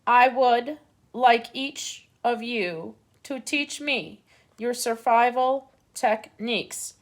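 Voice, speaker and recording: A polite female robot from a storybook read-aloud, spacing out each word slowly and evenly, as a woman voices her. She stays calm even when the animals panic. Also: fairly high pitch. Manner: slow, even, deliberate.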